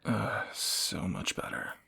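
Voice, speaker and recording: A man playing a groggy fantasy assassin in an intimate romantic audio roleplay, husky and halting, with wry understatement. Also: sharp tone. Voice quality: gravelly, husky morning voice. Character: groggy male fantasy assassin, softening captor, flustered and teasing.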